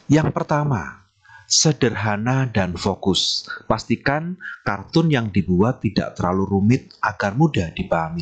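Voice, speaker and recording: A man's voice: fairly low pitch, breathy edge. A man acting as trainer, giving an online training lecture and reading through slide points while politely addressing his listeners.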